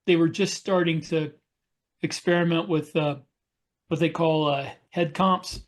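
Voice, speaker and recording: Male podcast panelist, fairly high pitch, conversational, measured explainer who pauses often. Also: steady tone. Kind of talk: casual podcast discussion.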